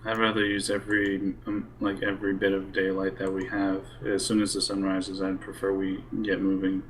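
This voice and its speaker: A man's voice: deep voice, completely flat delivery, normal speed, slight background noise, poor audio. A man talking casually and cautiously as a player in a group role-playing game. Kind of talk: casual in-character group planning. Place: online voice session for streamed role-play game.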